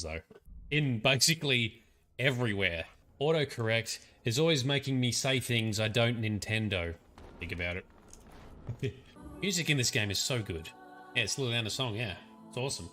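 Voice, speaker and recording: A man's voice: mid-range voice, lively intonation, normal speed, pushed and bright, faint music behind. An Australian man giving casual, sweary, jokey live commentary as he plays a video game. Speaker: livestreaming gamer. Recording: noisy stream with game sound. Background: video game audio.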